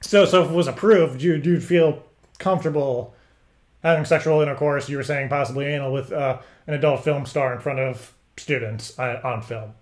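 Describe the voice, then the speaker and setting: A man with a medium-pitched voice talks through a casual interview-style call. He rambles, stammering and halting as he works toward each point. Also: fairly even intonation. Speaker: prank caller. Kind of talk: casual phone conversation posing as interviewer.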